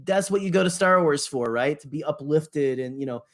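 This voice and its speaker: A man's voice: a little high, normal speed, a bit bright. A man co-hosting a podcast in a casual fan discussion, rambling enthusiastically, often trailing off and repeating his words. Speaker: podcast co-host.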